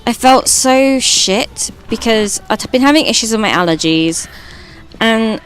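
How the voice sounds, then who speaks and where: A British woman with a high voice, streaming and chatting casually while gaming, rambling and swearing now and then. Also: lively intonation, measured pace, a bit bright, low-quality recording. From Britain.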